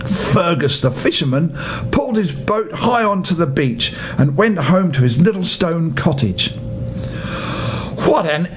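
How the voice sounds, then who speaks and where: An older man tells a children's bedtime story. His voice sits a little high and moves with lots of melody, leaning dramatically on key phrases. A clear background noise and rumble run under the recording. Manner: expressive, dramatic emphasis with drawn-out phrases. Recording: noisy home recording with low rumble.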